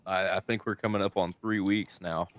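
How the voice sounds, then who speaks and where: Bright-voiced man chatting on a call. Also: low-pitched, steady tone, normal speed. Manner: laughing, reads chat aloud. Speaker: guest on a group call. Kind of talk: casual chat.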